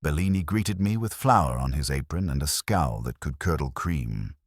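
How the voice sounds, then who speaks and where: A man's voice: a bass voice, lively intonation, moderate pace, dry recording, clean studio sound. A man narrating a scripted first-person detective story in a wry, hard-boiled way.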